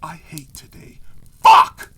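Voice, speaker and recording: A man's voice: slow, sharp tone. A man playing a foul-mouthed, exasperated and sarcastic pony hero in a comedic audio drama. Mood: exasperated, furious.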